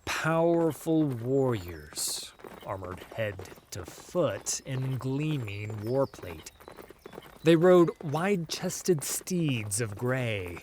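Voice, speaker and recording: A male audiobook narrator delivers epic fantasy prose in a theatrical style. His mid-pitched voice swings widely in pitch, and he takes his time, with measured, deliberate phrasing. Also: big pitch swings, darkish tone, slight background noise. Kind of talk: dramatic scripted fantasy narration.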